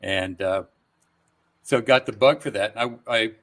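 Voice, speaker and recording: Older man with a lowish voice. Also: unhurried, fairly bright tone. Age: 60s or older. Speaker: guest voice actor. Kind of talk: casual interview chat.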